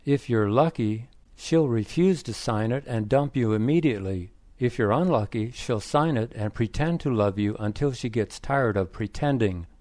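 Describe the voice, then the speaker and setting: A man's voice: medium pitch, moderate pace, hardly any pauses, a little mellow, no echo, close to an everyday voice. Man doing a blunt, preachy friend, plain read-aloud voice, dry sound. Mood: lecturing. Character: blunt, lecturing male friend.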